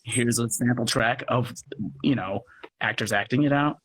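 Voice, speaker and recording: A man talking earnestly in a casual online interview, haltingly as he thinks aloud. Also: medium pitch, a bit bright, breathy edge, low-quality recording. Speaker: podcast producer and guest. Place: live social media video call.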